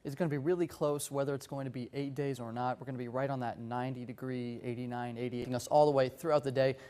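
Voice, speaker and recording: A man's voice: mid-range voice, fairly even intonation, fast, mellow. A young man delivering a broadcast weather forecast in an upbeat presenter style, walking viewers through the map. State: slightly hoarse.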